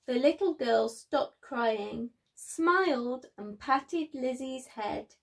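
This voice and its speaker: A woman speaking to young children as a preschool storyteller, with expressive emphasis and simple phrasing. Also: high voice, slow.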